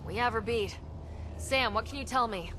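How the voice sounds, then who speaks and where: A woman's voice: fairly high pitch, moderate pace, bright, forceful tone, slight background noise, low-quality recording. An actress playing a defiant young woman who leads space explorers in a game, speaking in a direct, wry way. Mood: alarmed, resolute. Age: young adult. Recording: music underneath.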